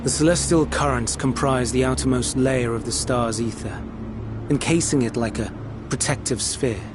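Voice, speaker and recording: A man's voice: mid-range voice, moderate pace, noisy background, close to an everyday voice. A man voicing a composed, erudite scholar in a video game, explaining in a formal, precise, lecturing manner. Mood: explanatory, grave. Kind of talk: dramatic video game voice acting.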